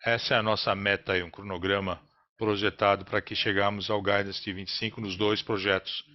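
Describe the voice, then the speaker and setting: A man with a Brazilian accent interprets a business earnings call live, in a halting, phrase-by-phrase delivery. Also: lowish voice, flat delivery. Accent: Brazilian Portuguese. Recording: dull, lo-fi conference call audio.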